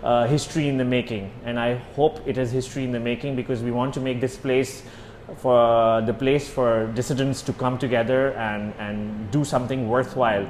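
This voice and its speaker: A man in his thirties from Pakistan, an Urdu speaker, telling his own story in an interview, pausing often with fillers and restarts. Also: medium pitch, flat delivery, darkish tone. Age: 30s. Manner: hesitant, many fillers and restarts. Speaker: exiled journalist. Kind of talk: personal testimony interview. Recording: roomy with faint ambience.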